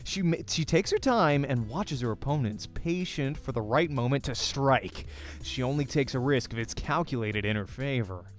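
A man's voice: mid-range voice, animated, normal speed. Man reading a visual novel aloud. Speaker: let's play streamer. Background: faint music.

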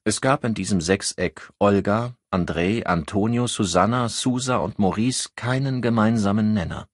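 Deep, husky male audiobook narrator. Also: moderate pace, no room sound, studio quality. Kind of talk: expressive scripted narration of a crime novel.

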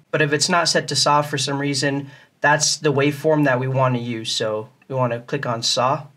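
Man calmly walking through a tutorial. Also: on the high side. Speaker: music tutorial host. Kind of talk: casual step-by-step tutorial narration.

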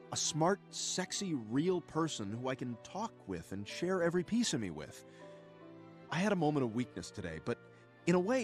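A dry-witted cartoon dog, a grown male who hesitates and stammers. His pitch swings very widely, with light music behind. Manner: wry, hesitant. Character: talking dog, adult male, dry and wry.

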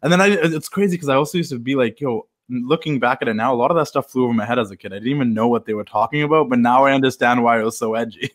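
A man in his late twenties hosting a casual interview, chatting along and asking questions. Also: medium pitch. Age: 20s. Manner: quick friendly interjections, laughs along. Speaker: podcast host. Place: indoor home room.

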